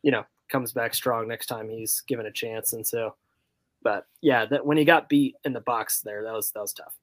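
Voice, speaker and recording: A man's voice: medium pitch, steady tone, normal speed, dry recording. A man chatting casually about sports as a podcast panelist, tentative and leaning on "like".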